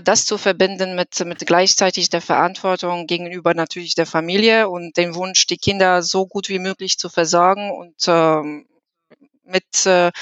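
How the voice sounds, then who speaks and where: A woman's voice: on the low side, a bit bright, dry recording. A woman from Poland with a Polish accent, speaking as a guest on a podcast with lots of fillers and restarts. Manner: hesitant, many fillers and restarts. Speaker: employee guest. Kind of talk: podcast conversation. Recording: dull lo-fi sound.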